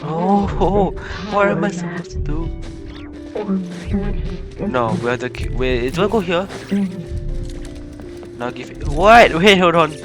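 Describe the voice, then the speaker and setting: A man's voice: fairly high pitch, animated, slow, long pauses. A man livestreaming a game in casual chat, flustered and giggly, often repeating his words. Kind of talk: casual live gameplay chat. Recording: game music clearly behind the voice. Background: video game music.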